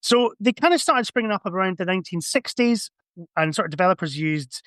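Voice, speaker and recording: A man's voice: sits high, expressive, brisk, a bit bright, dry recording, clean studio sound. A man chatting casually as a podcast guest, giving rambling, conversational explanations. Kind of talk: casual chat.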